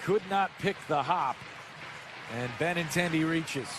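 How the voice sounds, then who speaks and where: A man's voice: on the high side, unhurried, a crowd in the background. An American man calling live baseball play-by-play for radio. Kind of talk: live sports commentary. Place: stadium broadcast booth. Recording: clear stadium ambience noise behind. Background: ballpark ambience.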